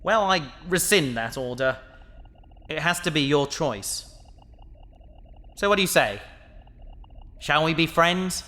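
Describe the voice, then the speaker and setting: A man's voice: on the high side, expressive, slow pace, long pauses, pushed and bright, exaggerated voice. A man playing an earnest, determined human spacefarer in a science fiction audio drama, sincere and slightly awkward. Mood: earnest, friendly.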